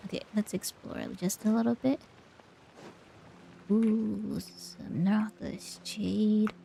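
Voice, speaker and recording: A woman's voice: mid-range voice, steady tone, takes it slowly, creaky, mellow, slight background noise, low-quality recording. A woman streamer giving casual gameplay commentary, murmuring to herself as she plays. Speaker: game streamer.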